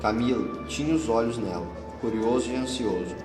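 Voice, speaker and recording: A man's voice: mid-range voice, moderate pace. A Brazilian man narrating a scripted radio drama. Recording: echoey hall, long reverb, music bed. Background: music.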